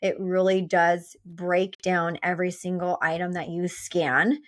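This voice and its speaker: A woman with a slightly low voice speaks as a nurse on a health show. She gives practical advice in a casual, conversational style, as one co-host chatting with another. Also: little pitch movement, a little slow. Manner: informal, conversational.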